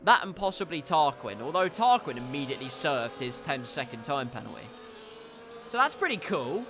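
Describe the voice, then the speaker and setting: A man with a stuffy, congested nose commentating a racing league, with wry asides that turn loud and excited when cars crash. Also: on the high side, moderate pace, background noise.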